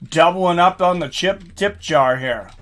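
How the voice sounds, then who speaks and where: Male live fight commentator, high bright voice, informal and excitable, chatty streamer delivery. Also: a little slow. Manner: excitable, informal, swearing, reacting aloud. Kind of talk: live fight commentary mixed with casual chat to viewers.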